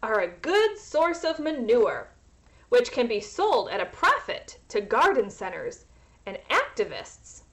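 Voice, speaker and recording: Woman playing an earnest, animated little girl. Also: on the high side, measured pace. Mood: earnest persuasion. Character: persuasive, earnest little girl pleading her case.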